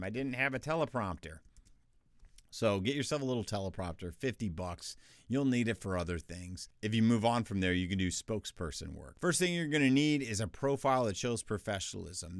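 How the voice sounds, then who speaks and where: A man with a deep bass voice, chatting through freelance voiceover tips in a casual, conversational way. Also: dry recording, clean studio sound. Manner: chatty, conversational, with restarts and asides. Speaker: voice actor teaching freelancers. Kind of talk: casual tutorial talk.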